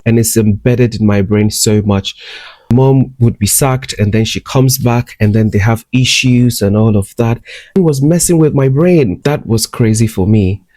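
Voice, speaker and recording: A man's voice: mid-range voice, a little mellow, dry recording. A young man from Ghana, candidly and reflectively telling his life story to the camera at home. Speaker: vlogger. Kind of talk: personal storytelling vlog. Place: at home, in bed. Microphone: camera close up, talking to camera.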